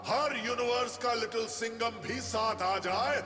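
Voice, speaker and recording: A man playing a boastful, menacing multiverse warrior villain in a cartoon, with a gravelly voice and taunting, theatrical delivery. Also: very high-pitched, pushed and bright, soft background music, heavily acted. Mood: gloating, domineering. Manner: taunting, theatrical, drawn-out delivery with evil laughing.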